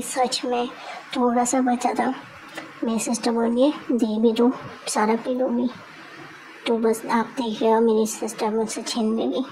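Young woman vlogger, chatty and giggly, slightly high, flat, mellow voice, faint hiss. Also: rough recording. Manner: chatty, giggly, recounting to viewers. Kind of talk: casual voice-over vlog narration.

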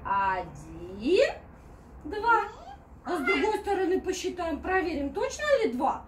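A woman teacher speaks to toddlers in a very high, lively voice. Her phrases are drawn out and sing-song, full of playful instruction. She is heard from a distance in an echoey room with music behind her. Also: a little hiss or hum. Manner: sing-song, drawn-out child-directed speech. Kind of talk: playful instruction to small children, with rhymes. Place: indoor children's playroom. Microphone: distant camera.

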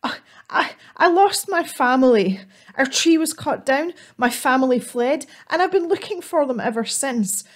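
A woman voicing a small, timid and eager male squirrel in a storybook, speaking in a high, breathless and polite way. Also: lively intonation, moderate pace. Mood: pleading.

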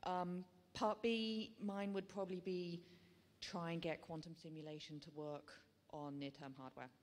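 A woman's voice: on the low side, steady tone, measured pace. A woman giving a casual, irreverent answer as a panelist in a large hall. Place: large hall with seated audience.

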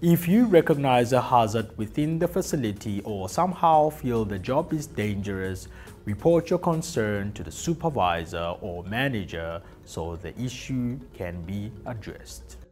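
A man presenting a scripted workplace safety induction, reading rules slowly and deliberately with emphatic listing. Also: lowish voice, lively intonation. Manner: slow, deliberate, emphatic list delivery. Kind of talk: scripted corporate safety narration. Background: soft background music.

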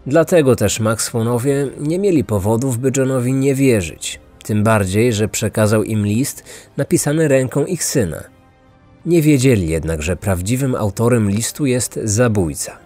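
A man's voice: medium pitch, animated, moderate pace, dark tone. A man hosting a true-crime podcast, reading a scripted narration with music behind him. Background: background music.

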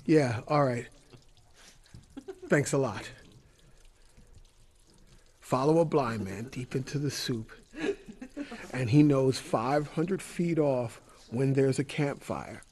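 A man acting in an audio drama as a weary, wounded, wry drifter with a husky voice. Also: medium pitch, takes it slowly, pauses often, darkish tone, close to an everyday voice. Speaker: actor.

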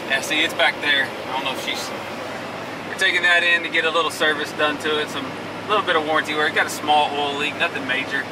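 A man's voice: a little high, steady tone, fast. A middle-aged man with a Texas accent chatting casually in a plain-spoken, folksy way while driving. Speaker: farm vlogger. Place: inside a moving pickup truck cab. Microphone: camera held from passenger seat. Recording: distant mic, loud road and vehicle noise.

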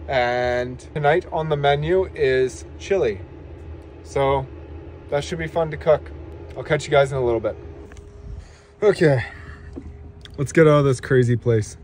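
Thirties male vlogger, easygoing and rambling, mid-pitched, phone at arm's length, faint background noise. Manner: relaxed, rambling with many fillers. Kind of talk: casual vlog chat. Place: in a truck and outdoors on a snowy trail. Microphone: phone held at arm's length.

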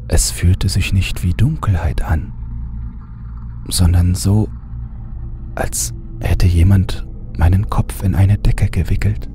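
Deep, slow man narrating horror. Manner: hushed, often half-whispered delivery. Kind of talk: scripted horror audiobook narration. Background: music bed.

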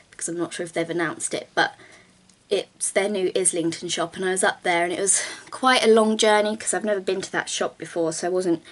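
A young woman films a relaxed vlog from a small bedroom, close to the camera. Her voice is a bit croaky this morning, and she rambles on with plenty of ums and corrects herself mid-sentence. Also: on the low side. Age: young adult. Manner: chatty, rambling, lots of ums and self-corrections. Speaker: vlogger. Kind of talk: casual talking-head vlog while doing makeup. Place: small bedroom with bookshelves, indoors. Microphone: camera at close range.

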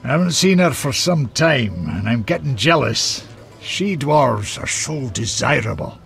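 An actor playing a blunt, crude, hearty dwarf in a fantasy game, talking in an earthy way. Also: male voice, mid-range voice, expressive, unhurried, creaky, a bit bright, faint music behind. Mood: grumbling.

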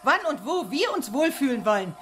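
An actress playing a spirited young female companion in a radio play, expressive and outspoken. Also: high-pitched, brisk, soft background music. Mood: defiant. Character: spirited young woman, hero's anxious companion.

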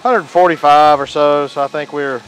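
A man's voice: a little high, steady tone, moderate pace, soft background music, poor audio. A man, chatting casually while cooking outdoors on a beach for his cooking channel, on a clip-on lapel mic. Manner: folksy, chatty, says "y'all" often.

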